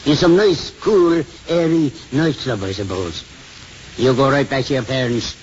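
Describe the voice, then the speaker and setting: An actor playing a fussy, moralizing, paternal senior priest in a 1950s radio drama. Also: male voice, medium pitch, unhurried, natural voice. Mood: disapproving. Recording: noisy muffled 1950s radio broadcast.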